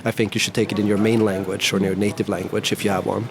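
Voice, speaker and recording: A man's voice: on the low side, little pitch movement, racing, crowd noise. A man whose first language is Swedish, hosting an interview in a casual way with many "you know"s and "right"s. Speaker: host, interviewer. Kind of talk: conversational interview. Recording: faint background noise.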